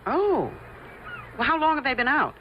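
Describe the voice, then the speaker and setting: A woman's voice: sits high, sing-song, moderate pace, slight background noise. An actress playing a shrewd, coolly confident woman investigator in an old-time radio mystery, insistent and pointed. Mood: curious. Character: shrewd, probing woman investigator laying out her theory. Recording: muffled old radio drama recording.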